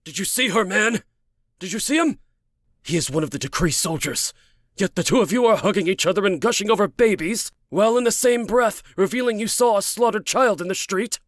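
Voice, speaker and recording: A man's voice: high voice, animated, brisk, a bit bright, no room sound, pitched above the performer's own voice. Male narrator playing furious brooding man, protective, accusing, intense, raised voice, dry audiobook sound. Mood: furious, incredulous. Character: angry, protective, brooding man scolding a woman. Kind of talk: dramatic audiobook dialogue.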